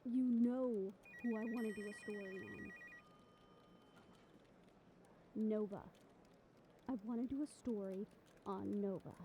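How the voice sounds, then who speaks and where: A woman's voice: mid-range voice, moderate pace, dark tone, a little hiss or hum, lightly acted. A woman playing a brash, stubborn, ambitious young reporter in an improvised audio roleplay, with a sarcastic, cocky, conversational delivery. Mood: determined.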